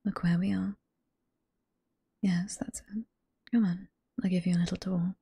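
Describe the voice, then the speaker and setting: A woman with a British accent playing a flirty, teasing, sweet head librarian boss in a whispered ASMR roleplay, soft and coy, close to the microphone. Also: fairly low pitch, level tone, unhurried, vocal fry, husky, no echo, exaggerated voice. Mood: friendly, instructive. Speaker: voice performer in roleplay.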